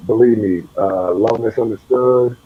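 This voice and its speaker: A young adult man co-hosting a talk show, speaking earnestly and haltingly with repeated words. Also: lowish voice, slightly rough, dry recording. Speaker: co-host, treasurer of the nonprofit. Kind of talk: casual chat. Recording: dull, muffled lo-fi audio.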